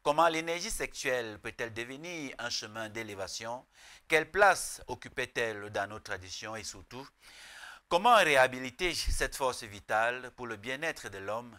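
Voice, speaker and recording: A male programme host with a bright, projecting voice at an average pitch. He reads a scripted broadcast introduction formally, setting out each phrase with solemn deliberation. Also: lively intonation, moderate pace.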